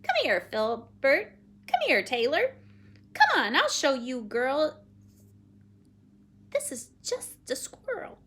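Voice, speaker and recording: A woman voicing a bossy, scornful female pet bird in a children's story, brisk and exasperated, repeating the ends of phrases. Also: sits high, wildly swooping pitch, fairly bright tone, voice pushed up, full character voice.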